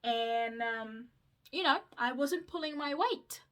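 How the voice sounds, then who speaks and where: A woman's voice: high-pitched, takes it slowly, a bit bright. A woman, chatting casually to her viewers while doing her skincare, with lots of fillers and asides. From Mauritius. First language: Mauritian Creole. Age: 20s. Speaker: vlogger.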